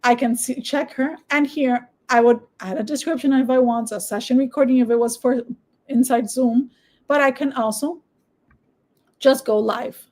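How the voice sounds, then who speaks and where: A woman from the Dominican Republic, a native Spanish speaker, speaking English with a Spanish accent and a somewhat high voice. She walks viewers through software on screen in a brisk, persuasive teaching style. She keeps stopping to check in with a quick "okay?". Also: fairly even intonation. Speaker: online business coach. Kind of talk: screen-share tutorial and sales pitch.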